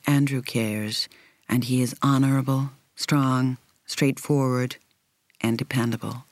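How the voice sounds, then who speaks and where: A woman reading a first-person novel as an audiobook narrator, in a measured, reflective, carefully articulated way. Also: extremely low pitch, a little mellow, no echo, clean studio sound.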